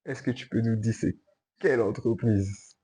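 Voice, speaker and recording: A man hosting a casual interview, chatty and eager, often chiming in with quick agreement while his guest talks. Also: lowish voice, dark tone, husky. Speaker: host.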